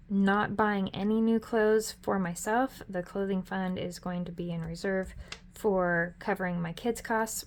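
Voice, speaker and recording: Mom-aged lady chatting thru her budget, kinda hoarse, lots of ums and take-backs. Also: medium pitch. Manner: chatty and upbeat, with frequent ums and self-corrections. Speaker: budgeting vlogger. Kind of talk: casual budget walkthrough.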